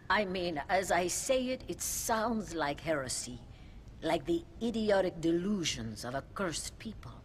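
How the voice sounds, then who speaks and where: A woman playing a pious, sharp-tongued mother superior nun in a video game. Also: fairly low pitch, expressive, slow pace, slight background noise. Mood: musing. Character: stern middle-aged Mexican mother superior nun. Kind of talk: video game cutscene acting.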